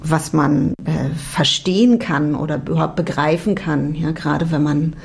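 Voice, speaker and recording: Low gravelly-voiced woman from Berlin. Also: steady tone, moderate pace. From Berlin, Germany. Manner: thoughtful, many restarts and hesitations. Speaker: psychology professor. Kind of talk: radio interview answers.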